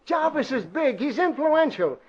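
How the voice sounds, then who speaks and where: An actor playing a wisecracking, worried newspaper city editor, slangy and blustery in old-time radio drama style. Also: male voice, extremely high pitch, big pitch swings, a little slow, full character voice. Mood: worried, pleading. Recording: dull vintage radio broadcast.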